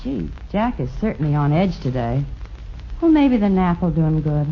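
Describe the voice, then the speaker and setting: A lively, level-headed radio heroine. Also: female voice, deep voice, moderate pace. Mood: musing. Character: level-headed female companion and mock-earnest storyteller nurse. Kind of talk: 1950s radio comedy acting. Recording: muffled, noisy 1950s radio recording.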